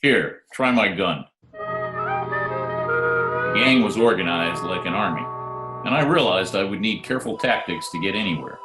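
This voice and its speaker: An actor playing a wisecracking, sardonic private detective who also narrates, in a 1940s-style radio drama. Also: male voice, low voice, expressive, normal speed, pauses often. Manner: dry, sardonic. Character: wisecracking hard-boiled private detective, narrating his case. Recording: roomy remote home recording, faint music.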